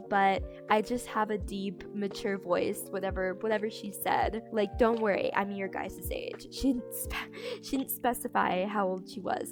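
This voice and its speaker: Chatty young woman over background music. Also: a little high. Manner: chatty and candid, frequent likes and restarts, incredulous emphasis. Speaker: storytime creator. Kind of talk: casual storytime vlog.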